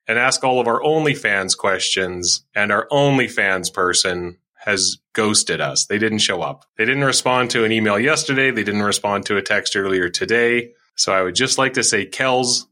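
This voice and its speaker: A man with a slightly raspy voice hosting a podcast in a rambling, self-mocking casual chat. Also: lowish voice, normal speed, few pauses, sharp tone. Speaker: podcast host.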